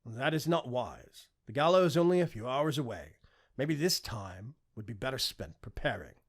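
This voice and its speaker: Disapproving royal father played by a male reader, controlled and formal, raspy edge, audiobook drama. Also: mid-range voice, animated, moderate pace. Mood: stern, reproving. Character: stern, battle-scarred old king, disapproving father. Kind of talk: audiobook character acting.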